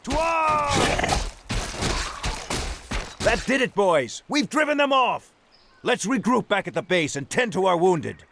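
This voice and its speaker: Fantasy army captain: high, swooping, unhurried, hearty rallying voice for a video game, faint noise. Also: male voice, big pitch swings, fairly bright tone, exaggerated voice. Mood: triumphant.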